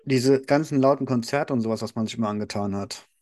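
A man's voice: medium pitch, fast, a little mellow. A man in his thirties co-hosting a casual podcast, easygoing and dry, often restarting his sentences. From Germany. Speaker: podcast co-host.

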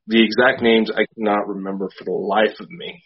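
A man co-hosting a casual podcast, calmly laying out folklore and reports, speaking off the cuff. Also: on the low side, breathy edge. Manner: measured, explains folklore, unscripted. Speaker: podcast co-host. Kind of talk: casual chat. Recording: dull lo-fi.

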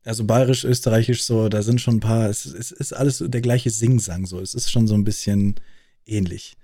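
A man interviewing a fellow streamer in a relaxed, curious, chatty way. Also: on the low side, a little fast, no echo, clean studio sound. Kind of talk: casual conversation.

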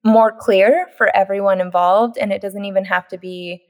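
A woman hosting a podcast, talking in a relaxed, agreeable way with casual fillers. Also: medium pitch, moderate pace. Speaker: podcast host.

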